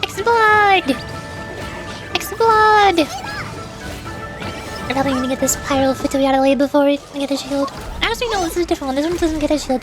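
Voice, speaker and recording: A woman livestreaming a game with casual, excitable, playful chatter. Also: high voice. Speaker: livestreamer, VTuber. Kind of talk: casual gameplay stream chat.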